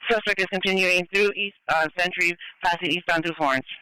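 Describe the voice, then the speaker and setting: A man's voice: high-pitched, fairly even intonation, quick pace, bright, forceful tone, clear, resonant tone, no room sound, rough recording. A man working as a police radio dispatcher, relaying pursuit updates in a clipped, procedural way over a scanner. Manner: clipped, procedural, repeating reports. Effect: police radio transmission.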